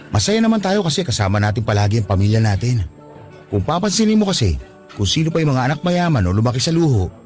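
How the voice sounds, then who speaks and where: A thoughtful young working man, as an actor plays him, calm and kind in a radio drama. His pitch moves a lot, and soft music plays underneath. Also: lightly acted. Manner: calm, reflective.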